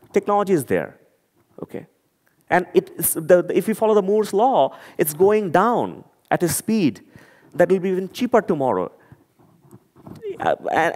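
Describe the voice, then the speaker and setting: A man's voice: high-pitched, darkish tone. A man from Bangladesh with a Bangladeshi accent, giving an earnest, emphatic talk to an audience and often repeating words as he searches for them. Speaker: conference speaker. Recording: long hall reverb.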